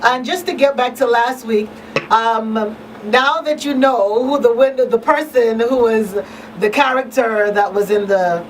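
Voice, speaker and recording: A woman's voice: on the high side, a little slow, far from the mic. A woman hosting a live radio talk show. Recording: off-mic, noisy room.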